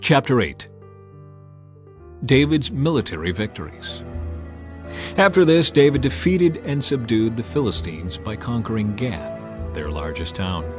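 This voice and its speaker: A man narrates an audio Bible in a solemn, deliberate manner. His pitch sits in the middle range, and music plays underneath the scripted reading. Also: very animated.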